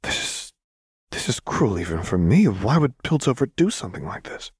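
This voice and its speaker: A man voicing a clever, earnest young woman in an audiobook, soft-spoken and questioning. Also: on the low side, big pitch swings, normal speed, breathy edge, no room sound, clean studio sound, exaggerated voice. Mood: troubled.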